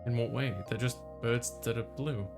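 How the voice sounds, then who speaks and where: A man's voice: medium pitch, fairly even intonation, moderate pace, darkish tone, soft background music, close to an everyday voice. A man voicing a flustered young male college student who narrates his own story in a visual novel. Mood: easygoing.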